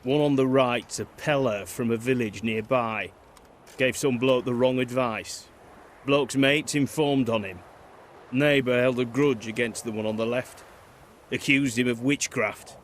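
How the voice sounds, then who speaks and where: An actor playing a plain-spoken, quietly disillusioned soldier on guard in a fantasy video game, talking in blunt, clipped, colloquial phrases. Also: male voice, mid-range voice, steady tone, unhurried, slight background noise, close to an everyday voice. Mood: grim, matter-of-fact.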